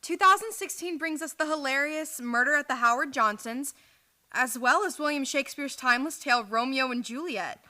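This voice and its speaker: A woman's voice: high-pitched, normal speed, pushed and bright. A woman performing a scripted theater advertisement as promotional patter for radio. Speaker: ad announcer.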